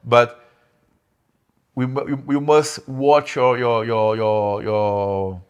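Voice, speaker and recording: A young man, a guest on a podcast, speaking in halting English with many repeated words and frequent laughs. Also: medium pitch, level tone, smooth. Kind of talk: casual interview.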